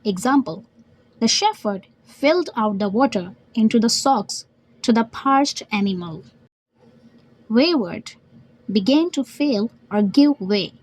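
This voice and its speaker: Husky woman narrating, lively and fairly high. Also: slow pace, dry recording, low-quality recording. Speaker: narrator and vocabulary teacher. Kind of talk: scripted educational story narration.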